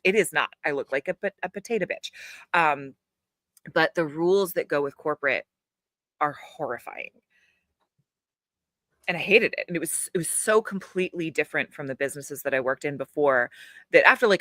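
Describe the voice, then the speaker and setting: A woman's voice: sits low, pushed and bright, dry recording, clean studio sound. A woman guest, an actor and audiobook narrator, telling stories frankly and with plenty of swearing. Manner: frank, profane, storytelling. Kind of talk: casual interview chat.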